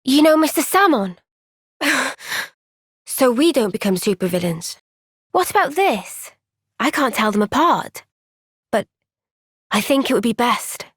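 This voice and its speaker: An actress voicing a young woman soldier in a game cutscene, soft-spoken and caring. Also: on the high side, no echo, studio quality.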